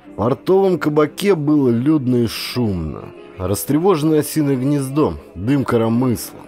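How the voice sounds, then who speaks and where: A man narrating an adventure audiobook as a dramatic, expressive, theatrical storyteller. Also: mid-range voice, moderate pace, soft background music.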